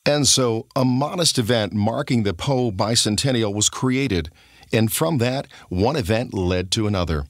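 A man's voice: mid-range voice, unhurried, no room sound, clean studio sound. A man reading scripted documentary-style podcast narration.

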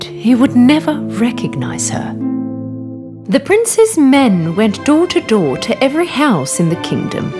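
A woman narrates a children's fairy tale in a slow, careful storybook reading. Her high voice swoops widely up and down. Soft music plays under her. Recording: narration over background music.

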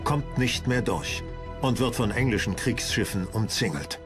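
A man's voice: mid-range voice, unhurried. A man narrating a historical documentary from a script in a dramatic storytelling style. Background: music.

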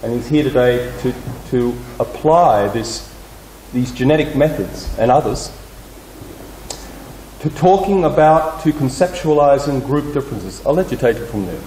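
Halting middle-aged man in a roomy hall. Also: mid-range voice, expressive, mellow. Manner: halting, searching for words. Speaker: host introducing a speaker. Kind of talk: introduction. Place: lecture hall, indoors. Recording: roomy hall with faint background noise.

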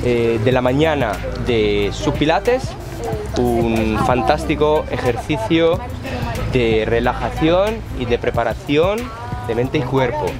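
Male water sports representative, forties, informal promotional explainer, outdoors, loud music underneath. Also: mid-range voice. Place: outdoors at a seaside marina.

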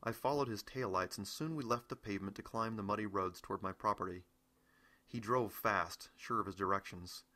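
A man's voice: fairly low pitch, brisk, pushed and bright. A middle-aged American man reading his own novel aloud as first-person audiobook narration.

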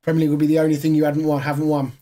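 A hoarse man in his thirties, co-hosting a casual gaming podcast from a small home room, rambling with plenty of fillers. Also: on the high side, completely flat delivery, racing, warm tone. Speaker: podcast co-host.

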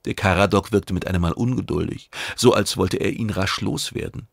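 A man's voice: low-pitched, a little fast, vocal fry, breathy edge, no room sound, studio quality. A man reading scripted science fiction narration as an audiobook narrator.

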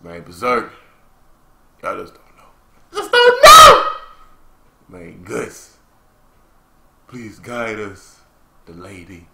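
A young man giving casual, slangy, excitable live reaction commentary on anime as the host. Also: low-pitched, takes it slowly, creaky, pauses often. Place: small room indoors. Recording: roomy, echoing home recording.

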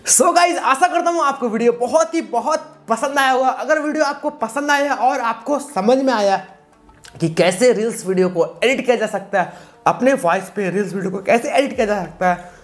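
A man's voice: extremely high pitch, moderate pace, faint music behind. A man, giving a casual, repetitive step-by-step tutorial. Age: 20s. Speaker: tutorial host. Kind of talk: casual video-editing tutorial.

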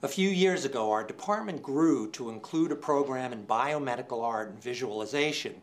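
An older man in his sixties presents an awards show from a script, with a medium-pitched voice. His delivery is formal and measured, and he enunciates names and details deliberately. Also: animated. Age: 60s. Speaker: department chair presenting awards. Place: indoor studio set.

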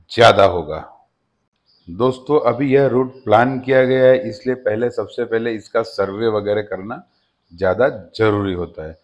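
A man hosting his own channel, giving a steady informational voice-over that often addresses viewers as friends. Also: medium pitch. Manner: steady explanatory delivery with frequent "friends" address. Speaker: channel host. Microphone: voice-over over slides.

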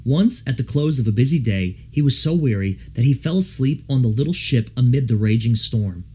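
A man narrating a devotional book aloud as an audiobook reader, with measured, solemn, rhetorical phrasing. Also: medium pitch, animated, warm tone, breathy edge.

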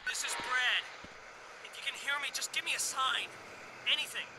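An actor playing an anxious police helicopter pilot in a video game, calling urgently and pleadingly over the radio. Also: male voice, extremely high pitch, normal speed. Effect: radio transmission. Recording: very noisy radio with engine roar. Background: loud vehicle engine noise.

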